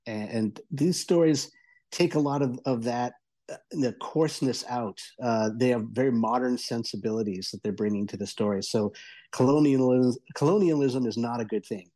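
A man speaking as a guest reviewer in a conversational discussion, haltingly, repeating words for emphasis. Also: medium pitch, dark tone.

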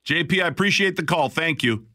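A man hosting a call-in radio show, chatty and animated, with quick laughs. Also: fairly high pitch, dry recording, clean studio sound. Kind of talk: casual call-in radio chat.

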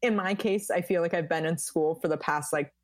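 A woman in her thirties from the United States, a high-energy podcast guest who tells animated stories in casual chat. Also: a little fast, dry recording, studio quality.

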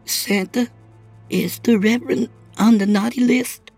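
A man's voice: high voice, fairly even intonation, unhurried, darkish tone, dry recording, faint music behind, voice pushed up. A man voicing a frightened ten-year-old boy who pleads, then asks eager questions. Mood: curious. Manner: tearful, pleading, then stern. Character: scared young boy, about ten.

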